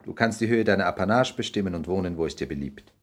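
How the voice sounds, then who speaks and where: An actor playing a suave, arrogant aristocratic lord in a 1960s German radio play, polished and condescending in manner. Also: male voice, sits low, animated, fast talker. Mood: coolly bargaining.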